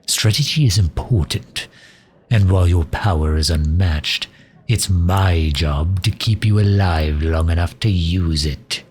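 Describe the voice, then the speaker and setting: Casting: male actor as a loyal fantasy mage advisor, extremely low voice, slow and dryly cutting, for roleplay audio. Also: darkish tone, breathy edge. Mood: guarded, dry. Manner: dry, sardonic, drawn-out delivery with sighs. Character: loyal, sharp-tongued male mage advisor to a demon ruler. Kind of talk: ASMR roleplay audio drama.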